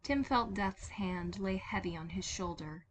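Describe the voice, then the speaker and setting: A woman's voice: medium pitch, measured pace, slight background noise. A woman reading scripted fiction aloud as a dramatic narrator. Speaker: podfic narrator.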